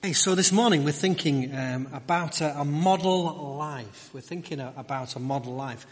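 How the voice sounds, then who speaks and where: A middle-aged man preaching a conversational sermon, halting and repeating his phrases as he goes. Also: on the high side. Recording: echoey hall with long reverb.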